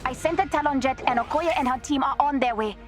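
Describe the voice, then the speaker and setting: A woman playing a cheeky, tech-genius young princess with an African accent in a video game, briefing in a crisp, playful way. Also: sits high, quick pace, loud music behind the voice, natural voice. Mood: concerned.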